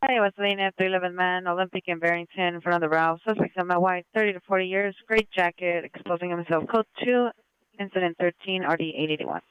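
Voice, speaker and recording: High, flat-pitched man dispatching on police radio. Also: quick pace, clean tone, dry recording. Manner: clipped, procedural. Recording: muffled police scanner radio.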